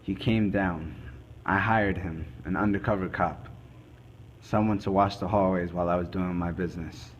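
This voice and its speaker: A man acts as a grave, methodical male investigator in an amateur crime drama monologue. The voice is low, and the phrasing is halting and deliberate. Faint noise sits behind the recording. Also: muffled recording. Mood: grave, suspenseful. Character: male forensic investigator recounting a murder case, grave and methodical. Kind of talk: scripted dramatic monologue, amateur student production.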